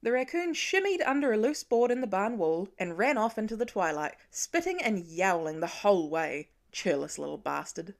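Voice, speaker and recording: Expressive woman narrating fiction. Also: medium pitch, normal speed, hardly any pauses. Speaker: audiobook narrator. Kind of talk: scripted dramatic fiction narration.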